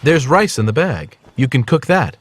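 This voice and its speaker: An actor playing a calm but stern, sly monk in an animated children's story, speaking in a gentle yet firm, instructive way. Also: mid-range voice, moderate pace, no room sound. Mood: mild.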